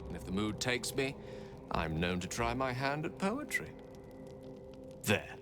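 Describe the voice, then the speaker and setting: A man's voice: medium pitch, very animated, unhurried, over music. A man voicing an erudite, genial wizard in a video game, speaking in wordy, theatrical and somewhat pompous phrases. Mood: boastful, genial. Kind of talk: video game character acting.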